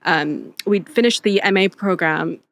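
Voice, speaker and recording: A young woman, a literary translator, telling her story in a chatty, animated way with lots of fillers. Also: on the low side, measured pace, no room sound. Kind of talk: casual conversational interview.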